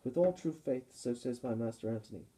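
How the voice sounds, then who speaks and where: A man's voice: mid-range voice, animated, a little fast, dark tone, close to an everyday voice, low-quality recording. A man playing a dutiful, humble Roman servant in a puppet-show Shakespeare, delivering formal verse deferentially.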